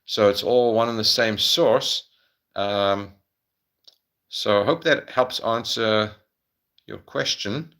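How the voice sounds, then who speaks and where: A man's voice: on the low side, takes it slowly, long pauses, a bit bright. A middle-aged man with a South African accent, answering viewers' questions on a casual livestream, hesitant, with many ums and restarts.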